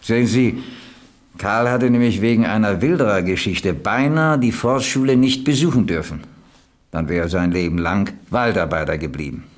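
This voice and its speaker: Guy playing working class stoker in a radio play, low voice, folksy and reflective, plain talker. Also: moderate pace, close to an everyday voice. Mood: explaining, admiring. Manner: folksy, ruminative storytelling. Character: plain-spoken working man, boiler-room stoker, reflective. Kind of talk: German radio crime drama acting.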